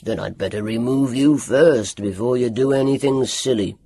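A reader voicing a gruff, stern older male doctor who speaks in a curt growl. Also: medium pitch, normal speed, dark tone, dry recording.

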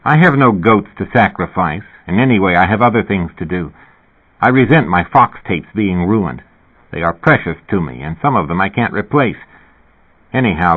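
A man's voice: sits low, breathy edge. A man voicing an irritable, reluctant male loner in an audiobook, weary and grumbling. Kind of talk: audiobook character dialogue. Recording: narrow, phone-like band.

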